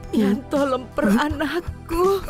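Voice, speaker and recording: A woman voicing a loving older mother in an audio drama, speaking in a soft, tearful, pleading way. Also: high voice, animated, slow, music in the background. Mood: tender.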